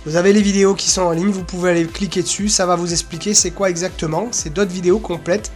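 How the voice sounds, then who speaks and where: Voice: mid-range voice, fairly even intonation, quick pace, faint music behind. A presenter giving an informal, unscripted screen-share walkthrough, rambling casually with little filler sounds. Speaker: presenter of an association's website.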